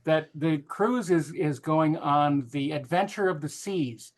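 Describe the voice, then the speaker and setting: A man co-hosting a podcast, chatting casually in a matter-of-fact, halting way, often correcting the others. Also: on the high side. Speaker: podcast co-host. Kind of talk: casual panel banter.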